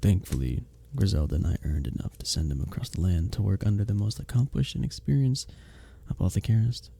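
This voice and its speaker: A man playing a husky, courteous medieval village innkeeper. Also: lowish voice, fairly quick. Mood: warm narrating. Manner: gentle, formal storybook phrasing, hesitant and tender. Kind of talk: intimate ASMR roleplay audio drama.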